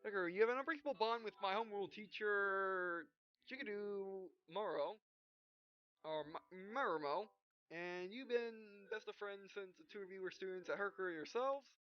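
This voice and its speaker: High-pitched man reading a game aloud, muffled audio. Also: clear, resonant tone. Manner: halting, reads punctuation and speaker names aloud. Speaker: let's player reading visual novel text aloud. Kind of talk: casual gameplay read-aloud with asides. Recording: dull, lo-fi sound with music behind. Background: game music.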